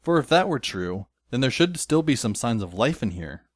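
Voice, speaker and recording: A man's voice: mid-range voice, very animated, normal speed, no room sound. A man playing a wry, weary teenage schoolboy who narrates an audio drama in a dry, sardonic way. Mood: wry narration. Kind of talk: first-person scripted audio drama narration. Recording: music under the voice.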